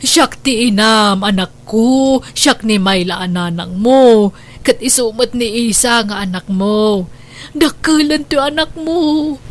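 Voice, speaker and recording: A woman acts a worried, loving grandmother in a radio drama. Her voice is fairly high, tender and sighing, with a lamenting edge. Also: slow. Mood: distressed, pleading. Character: anxious, devout, loving grandmother and worried mother.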